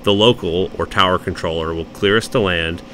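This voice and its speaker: A man narrating an explanatory vlog voice-over in clear, instructional sentences. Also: sits low, steady tone, fairly quick, fairly bright tone, slight background noise. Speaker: narrator explaining air traffic control.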